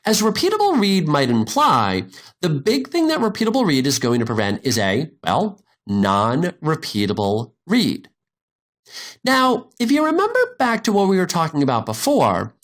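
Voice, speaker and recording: A man narrating a software tutorial step by step, in an informal, explanatory manner. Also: on the high side. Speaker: instructor.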